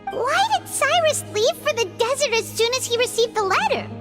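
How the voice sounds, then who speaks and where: A woman voicing a small, nosy, childlike fairy companion in a video game, with a squeaky voice and a blunt, questioning manner. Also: expressive, moderate pace, long reverb tail, music in the background. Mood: suspicious. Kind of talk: video game character acting.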